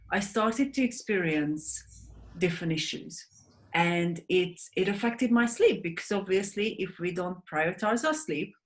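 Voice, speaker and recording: A woman in her thirties from Hungary with a Hungarian accent, a guest in an interview, speaking earnestly with frequent restarts over a video call. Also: medium pitch. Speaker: guest, sleep advocate. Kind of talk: interview conversation.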